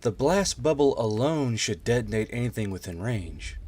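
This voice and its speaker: A man's voice: mid-range voice, animated, normal speed, a little mellow, slightly rough, no echo. A man voicing a timid male elf who pretends to be female, in a story reading. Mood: earnest. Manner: careful, timid, feigning femininity. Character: nervous young male elf sapper posing as female.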